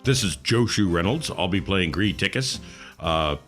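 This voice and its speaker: A man with a deep voice chats as a player on a tabletop gaming podcast. He talks haltingly, working out ideas aloud, over faint music. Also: lively intonation, a bit bright. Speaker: podcast cast member, tabletop RPG player. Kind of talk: casual chat, describing his game character.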